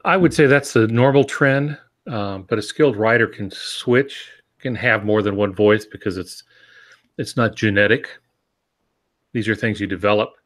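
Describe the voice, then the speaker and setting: Older male panelist, lower register, measured explanatory delivery in casual discussion. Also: fairly bright tone. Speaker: podcast panelist and novelist.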